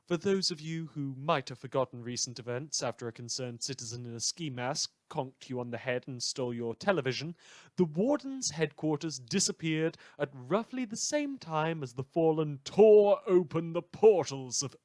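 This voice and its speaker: A man playing a gleeful, sardonic villain who hosts a radio news show, delivering a scripted monologue with dramatic pauses and sarcasm. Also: fairly high pitch, animated, no echo, studio quality, natural voice.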